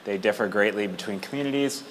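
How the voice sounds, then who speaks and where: A man reporting back for his group at a meeting in a halting, deliberate, technical way. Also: fairly low pitch. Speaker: workshop group spokesperson. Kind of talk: informal spoken report to a meeting. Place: meeting room at an event. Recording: noisy room with clear background noise.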